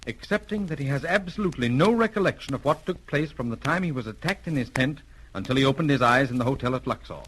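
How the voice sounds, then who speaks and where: An actor playing an excitable British gentleman doctor in an old radio drama, emphatic and old-fashioned. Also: medium pitch, fast, close to an everyday voice. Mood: earnest. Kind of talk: 1930s-40s radio drama acting. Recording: noisy, clicking vintage radio recording.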